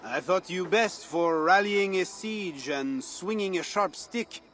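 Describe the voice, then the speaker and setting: A man's voice: sits high, takes it slowly, fairly bright tone. An actor playing a playful, pleasure-loving Frankish man in a video game, with a teasing, suave and theatrical manner. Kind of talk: dramatic video game cutscene acting. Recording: music under the voice.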